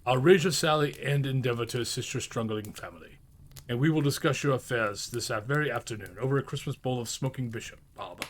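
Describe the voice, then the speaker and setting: A man's voice: medium pitch, fast, lightly acted. Man voicing an emphatic old miser turned joyful. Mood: jubilant. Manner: emphatic, growling when feigning sternness. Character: old Scrooge, gruff but gleeful reformed miser. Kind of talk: storybook character voice.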